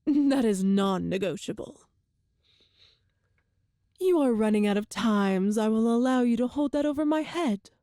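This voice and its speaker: Woman playing a slow, silky evil empress. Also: on the high side, animated, warm tone, no echo, studio quality. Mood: cold command. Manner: imperious, deliberate, silky menace. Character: cruel, soulless, seductive evil empress, imperious and vengeful. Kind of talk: ASMR roleplay audio drama.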